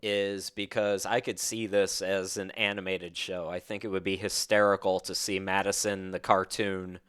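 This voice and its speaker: A man's voice: low-pitched, level tone, normal speed, a bit bright, smooth. A man, hosting a casual interview in an informal, enthusiastic way. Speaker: podcast host, interviewer.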